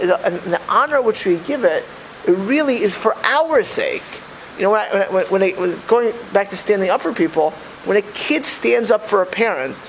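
An animated rabbi in his thirties teaching a class, his voice riding high and swinging widely in pitch. He stumbles over repeated words and restarts often, laughing between points. A faint hiss sits behind him. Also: vocal fry, poor audio. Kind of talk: Torah class talk.

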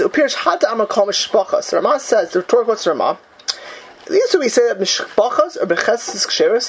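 A man's voice: a little high, slight background noise, rough recording. A man teaching a Talmud class in a conversational way. He keeps checking in with his students and acts out the people he quotes.

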